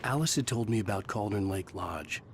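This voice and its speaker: An actor playing a troubled, quick-tempered writer and devoted husband in a brooding, intense video game performance. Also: male voice, lowish voice, expressive, slight background noise. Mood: brooding, reflective. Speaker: protagonist and narrator. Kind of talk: cinematic game acting with brooding first-person narration.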